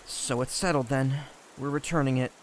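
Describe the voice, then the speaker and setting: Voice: mid-range voice, steady tone, moderate pace, a little hiss or hum, lightly acted, rough recording. An actor playing an anxious, earnest young boy in a fantasy audio drama, hesitant and stammering. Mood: uncertain.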